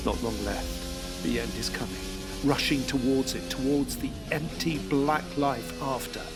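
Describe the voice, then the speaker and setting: A man's voice: medium pitch, measured pace, natural voice. A middle-aged man voicing a veteran male racing driver, speaking a terse, clipped inner monologue in an audio drama with music behind him. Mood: brooding. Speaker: narrator and lead character.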